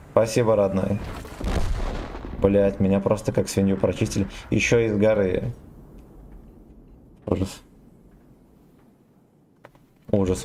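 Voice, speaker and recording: Brief: young male streamer, lowish voice, jokey and profane, faint game sounds under him. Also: warm tone, a little hiss or hum. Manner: joking, swears freely, reads chat aloud. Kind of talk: casual game stream chatter.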